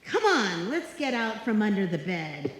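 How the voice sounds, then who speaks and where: A woman's voice: medium pitch, very animated, a little slow, background noise. A woman playing a sensible but rattled and exasperated roommate in a live old-time radio comedy. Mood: confiding. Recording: echoey hall, live stage performance.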